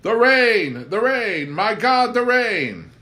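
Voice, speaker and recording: A man's voice: sits high, big pitch swings, takes it slowly, sharp tone, voice pushed up, heavily acted. A man reading a memoir aloud and voicing a frantic woman homesteader who cries out with hysterical melodrama. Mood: anguished wailing.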